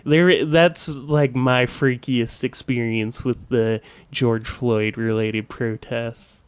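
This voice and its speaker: A man hosting a podcast in casual conversation, with a smooth voice and a halting way of talking full of asides. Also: mid-range voice, fairly even intonation, dry recording. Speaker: podcast host.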